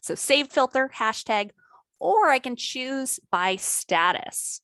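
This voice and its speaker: A woman trainer talking through a software tutorial in a casual, conversational way, her voice a little worn today. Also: high voice, lively intonation, slow pace, no echo. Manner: conversational, lots of "maybe" and "you know". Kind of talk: screen-share software tutorial.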